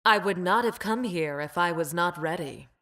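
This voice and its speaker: A woman's voice: on the low side, moderate pace, a bit bright. A woman voicing a cool, calculating, condescending female scientist fighter in a video game, with a clipped, precise, clinical manner. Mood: calm, analytical. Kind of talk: game character voice lines.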